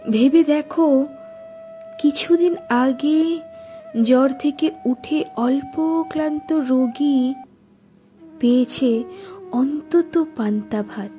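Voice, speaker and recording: A woman reciting poetry in a dramatic style, with measured, deliberate phrasing and expressive pauses. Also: high-pitched, faint music behind.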